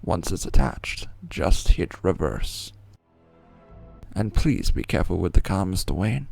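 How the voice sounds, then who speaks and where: Man playing a low-voiced, wry gadget expert. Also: sing-song, a little slow, vocal fry, darkish tone, soft background music, exaggerated voice. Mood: courteous, wry. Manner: polite, wry. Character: courteous, dryly witty male tech expert and aide. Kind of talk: fan-dub video game acting.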